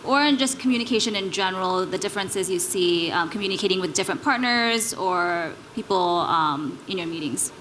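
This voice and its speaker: A woman's voice: mid-range voice, brisk, hardly any pauses, a bit bright, low-quality recording. A woman moderating a live panel, putting questions to the speakers. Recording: clear background noise, live event ambience.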